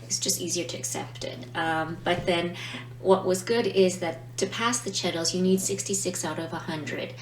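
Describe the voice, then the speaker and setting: A woman's voice: on the low side, fairly even intonation, moderate pace. A woman who teaches Italian, not her first language, chatting reflectively in a casual vlog and often checking in with "right?". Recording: roomy, distant mic with faint hum.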